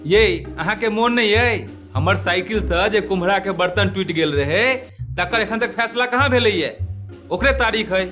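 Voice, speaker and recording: A man's voice: high-pitched, moderate pace, pushed and bright. A man voicing an impatient, easily irritated village husband in a cartoon comedy, scolding and sarcastic. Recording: music behind the voice.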